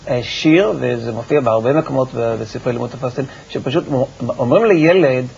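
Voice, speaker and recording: A man giving explanatory answers as an expert guest in a television interview, with a halting, repetitive delivery and a creaky voice. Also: mid-range voice, a little mellow. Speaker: research institute director, interview guest. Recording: muffled, noisy TV broadcast recording.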